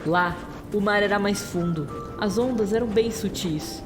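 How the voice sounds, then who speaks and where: A woman's voice: medium pitch, unhurried, over music. A woman narrator telling a scripted story in an audio drama.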